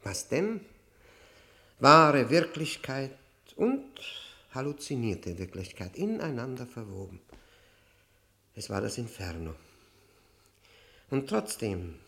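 A man's voice: mid-range voice, sing-song, creaky, fairly bright tone, heavily acted. Tormented, guilt-ridden man confessing. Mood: haunted, intense. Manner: halting, brooding, emphatic, repeats words. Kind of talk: theatrical German radio play monologue.

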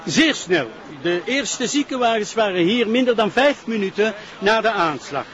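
Self-important Flemish prosecutor, halting official manner, high register, dull drama soundtrack. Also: male voice, lively intonation, a bit bright. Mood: formal, assertive. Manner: hesitant, formal, official. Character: flustered, self-important male public prosecutor.

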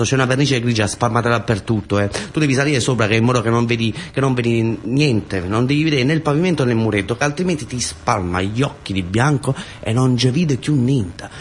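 A male storyteller performs a theatrical monologue in colloquial speech with a southern Italian accent. His voice is medium in pitch, and he hammers phrases through repetition. Faint noise sits behind him. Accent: southern Italian, Taranto area. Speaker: actor-storyteller.

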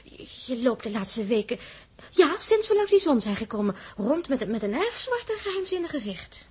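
A playful, romantic young spacewoman from a 1960s radio play. Her voice is extremely high and girlish. She teases and exclaims with lively swooping intonation. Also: moderate pace, breathy edge, full character voice. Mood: coaxing. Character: playful, romantic young woman crew member, teasing and sympathetic. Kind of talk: 1960s radio drama acting.

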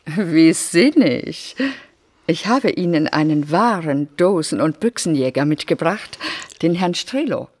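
A woman acting in a German radio play as a refined, morbidly curious society lady with affected, drawn-out salon elegance. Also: sits low, animated, darkish tone. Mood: languid, flattering. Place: studio radio production.

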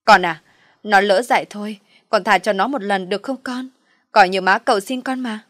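A woman's voice: medium pitch, normal speed, no room sound, clean studio sound, close to an everyday voice. A woman voicing an anxious, imploring middle-aged mother in an audio story. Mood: pleading.

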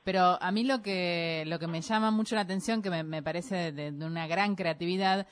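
A woman's voice: fairly low pitch, moderate pace. Appreciative female radio co-host. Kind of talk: radio interview.

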